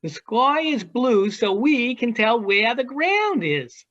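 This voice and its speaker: Muffled audio, woman doing a teasing grown-up seagull in a little play, drawls it out slow. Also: fairly high pitch, very animated, a bit bright, full character voice. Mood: encouraging. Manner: teasing, drawn-out delivery. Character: teasing, worldly adult seagull perched high above the city. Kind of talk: amateur virtual one-act play acting. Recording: dull lo-fi video call audio.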